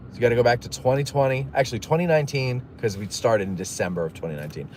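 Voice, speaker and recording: A man's voice: mid-range voice, racing, a little mellow, slight background noise. A man hosting a casual live stream, rambling through stories and jokey asides while reading viewer messages. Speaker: livestream host. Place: in a moving car.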